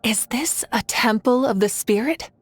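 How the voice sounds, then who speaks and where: A woman's voice: fairly high pitch, a little slow, natural voice. A woman voicing a confident, composed and gracious warrior leader in a video game. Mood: assured. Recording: background music.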